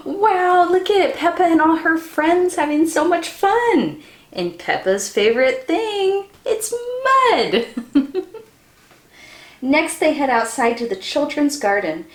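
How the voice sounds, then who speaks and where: A woman's voice: high voice, lively intonation, slow pace, reverberant. A young woman reading a storybook aloud to children, with exaggerated wonder and sing-song emphasis. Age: young adult. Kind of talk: animated children's read-aloud. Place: small room indoors by a window.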